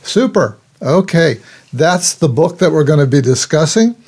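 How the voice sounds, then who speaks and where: An older man hosting a casual interview over a video call, relaxed and chuckling often as he asks his questions. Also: a little high, unhurried, husky. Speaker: podcast host, psychologist. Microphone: remote call microphone.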